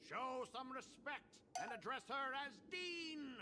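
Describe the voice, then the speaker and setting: Game role: haughty, fawning school bully, high and swooping, drawn-out delivery over loud music. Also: male voice, lively intonation, heavily acted. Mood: outraged, fawning. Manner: haughty, theatrical, declamatory. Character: pompous, bossy young male senior student, fawning sidekick. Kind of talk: anime-style game voice acting.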